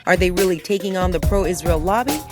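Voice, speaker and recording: A woman's voice: on the low side, a little fast. Woman narrating news over music. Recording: music under the voice. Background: background music.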